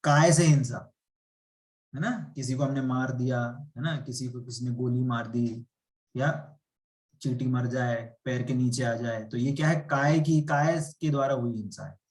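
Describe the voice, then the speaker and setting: A man's voice: medium pitch, fairly even intonation, a little slow. A man teaching a spiritual class, explaining patiently and asking rhetorical questions. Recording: echoey room.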